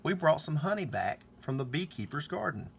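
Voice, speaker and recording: A man's voice: on the high side, very animated, moderate pace, creaky, a little hiss or hum, pitched above the performer's own voice. A man voicing a cheerful, thoughtful flower-friend girl in a children's storybook. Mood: bright, friendly.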